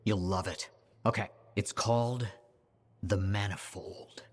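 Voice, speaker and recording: A man's voice: fairly low pitch, slow pace. An actor playing an eager, chatty scholar of ancient relics who gushes over his discoveries, in a game cutscene. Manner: excitable, chatty, gushing. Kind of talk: video game cutscene acting.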